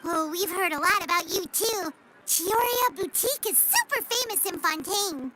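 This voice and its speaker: Tiny, very high squeaky fairy. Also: female voice, normal speed, heavy background noise. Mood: perky. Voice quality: squeaky, childlike. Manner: third-person self-reference, chirpy, excitable. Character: small childlike floating fairy companion, perky and curious. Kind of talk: anime video game voice acting.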